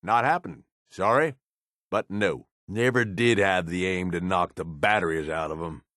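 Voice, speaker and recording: Deep-voiced, gruff American raider henchman. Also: moderate pace, fairly bright tone, no echo, studio quality. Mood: flat refusal. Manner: blunt, streetwise, coarse slangy talk. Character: gruff, cynical male wasteland raider, loyal but blunt henchman. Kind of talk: video game companion voice lines.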